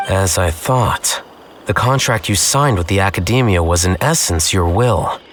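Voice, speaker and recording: Cool, low-voiced intellectual. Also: male voice, a little slow, a bit bright. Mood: cool, probing. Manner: composed, probing, articulate. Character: cool, analytical, highly intelligent young male scholar. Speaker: voice actor. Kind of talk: dramatic game voice acting. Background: background music.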